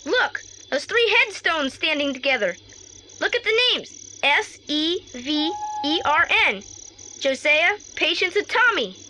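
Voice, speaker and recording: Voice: sits high, very animated, a little slow. An actor playing a nervous but plucky boy detective in an audio drama, exclaiming and questioning. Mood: curious. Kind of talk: 1980s British audio drama. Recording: very noisy old tape.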